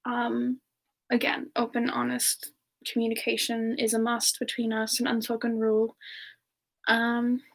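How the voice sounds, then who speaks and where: A woman hosting a semi-ASMR question session, chatting casually in a soft-spoken, gentle and affectionate manner. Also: a little high, monotone, unhurried, creaky. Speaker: ASMR channel performer hosting a live Q&A in character.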